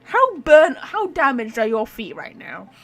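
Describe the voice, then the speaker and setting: High, animated, blunt woman gaming streamer. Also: measured pace. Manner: blunt, sweary, joking, animated. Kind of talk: casual let's-play commentary.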